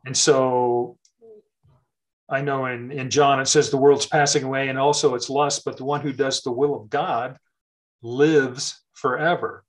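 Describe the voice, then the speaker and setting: A man's voice: mid-range voice, steady tone, measured pace. A middle-aged man talking casually about faith and scripture, thoughtful, with many fillers and restarts.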